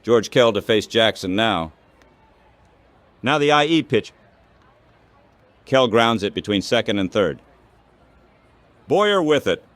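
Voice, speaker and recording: A man calls a baseball game play by play. He speaks very slowly in short, clipped phrases with measured pauses between them. His voice is recorded dry, with no room sound, in the manner of scripted radio commentary. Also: fairly low pitch, very animated, fairly bright tone, dry recording.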